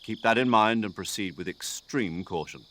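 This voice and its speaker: A man voicing an older military commander in a video game, precise and drily good-humoured, articulate and measured as he briefs an agent. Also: fairly low pitch, noisy background, natural voice. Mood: businesslike, grave. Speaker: mission commander giving a radio briefing.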